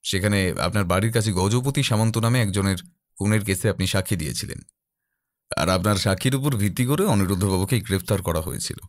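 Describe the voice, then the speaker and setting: A man playing a composed, earnest detective in an audio drama, speaking in a measured, serious way. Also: lowish voice, dry recording, clean studio sound, lightly acted. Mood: firm, formal. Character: composed, earnest male detective, a seeker of truth. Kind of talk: audio drama character acting.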